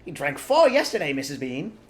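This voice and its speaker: A man voicing a big household maid who shouts up the stairs. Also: a little high, very animated, brisk, pitched above the performer's own voice. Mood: dutiful. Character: large loud household maid woman.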